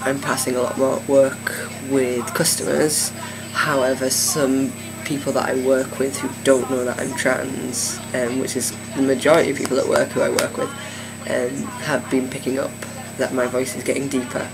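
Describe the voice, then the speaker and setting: Gravelly-voiced man, hesitant video diary. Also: mid-range voice, moderate pace, rough recording. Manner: hesitant, many fillers and restarts. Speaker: vlogger. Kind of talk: casual video diary update. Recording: steady air conditioning hum.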